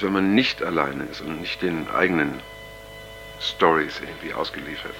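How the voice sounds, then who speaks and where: A young counterculture man recording an audio diary in a radio play. His voice is low and creaky yet forward and bright, over faint music. He talks haltingly, earnest and uneasy, pausing often. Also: full character voice. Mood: hesitant, earnest. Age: young adult. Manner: halting, hesitant, casual, many pauses. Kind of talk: radio drama acting, improvised-sounding monologue.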